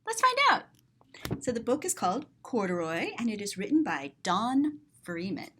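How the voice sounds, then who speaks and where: A woman reading a children's story aloud and describing the pictures, expressive and explanatory. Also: on the high side, normal speed, slight background noise.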